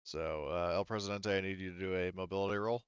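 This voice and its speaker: Casual tabletop game master, male, smooth low tone, halting explanations, muffled lo-fi sound. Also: fairly even intonation, no room sound. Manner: halting, thinking aloud while explaining rules.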